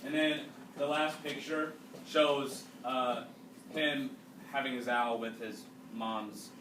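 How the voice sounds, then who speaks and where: A man reading a storybook aloud to a class as their teacher, pausing to explain and ask questions. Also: mid-range voice, fairly even intonation. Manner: instructive, checking understanding with questions. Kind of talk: read-aloud with explanatory asides and questions to pupils. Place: indoors, classroom. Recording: roomy, distant mic, faint background noise.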